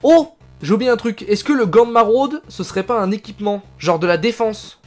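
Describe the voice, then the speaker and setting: A man's voice: sits high, a little fast, soft background music. A young man in his twenties chatting casually while playing a game on stream, reading text aloud and answering chat. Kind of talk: casual live gameplay commentary. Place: indoors, gaming chair at home.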